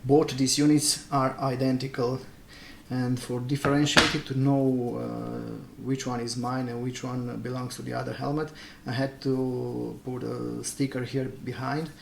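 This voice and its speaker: A man's voice: medium pitch, level tone, unhurried, creaky. A man who is not a native English speaker gives a casual, hesitant product unboxing commentary, often searching for words. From Transylvania, Romania. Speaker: product reviewer. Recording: echoey room, noisy, clicks and crinkling.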